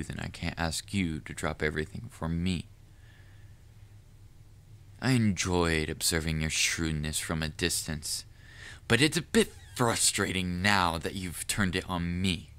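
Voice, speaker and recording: A man playing a proud, cunning, lovestruck assassin in an audio roleplay, speaking formally and deliberately to the listener with quiet menace. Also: low-pitched. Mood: rueful, intrigued. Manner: formal wording, deliberate, measured. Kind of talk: scripted audio roleplay monologue addressed to the listener.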